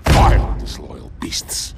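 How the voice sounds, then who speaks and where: A man voicing an indignant alien military commander in a video game, gravelly, formal and disdainful. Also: takes it slowly, noisy background, natural voice. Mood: disgusted. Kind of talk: video game cutscene acting. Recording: loud score behind the voice.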